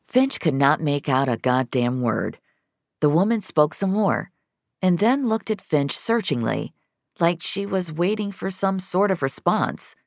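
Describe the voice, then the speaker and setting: A woman's voice: lowish voice, very animated, a little slow, vocal fry, dry recording, clean studio sound. A woman narrating scripted dramatic science-fiction audio fiction.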